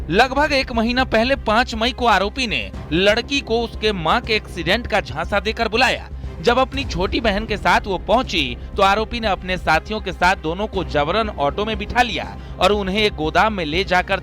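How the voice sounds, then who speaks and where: A man with a high, bright voice narrates a news report, measured and grave. Faint music sits beneath. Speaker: field reporter. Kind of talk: scripted news voice-over.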